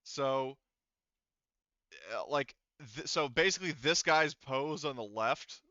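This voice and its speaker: A man with a bright, clean voice narrates a tabletop game as game master. He feels his way through descriptions in a conversational, halting manner. The sound is dry and slightly dull, like lo-fi voice chat. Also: mid-range voice, no room sound.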